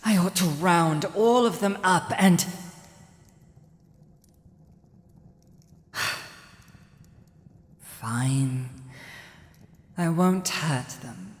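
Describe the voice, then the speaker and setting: An imperious dragon ruler, acted by a woman, speaks very slowly in a low female voice, with commanding pauses. The villainous, protective creature sounds as if in a great hall with long reverb, in an ASMR fantasy drama. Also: exaggerated voice. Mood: exasperated, irritated, then grudging, menacing. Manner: commanding, deliberate, pausing. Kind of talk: ASMR roleplay audio drama.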